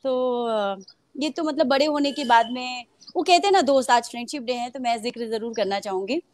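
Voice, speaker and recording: A young actress from Mumbai talks casually in an interview, her voice sitting a little high. She laughs often and fills her pauses with hesitations as she tells her story. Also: a little hiss or hum. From Mumbai, India.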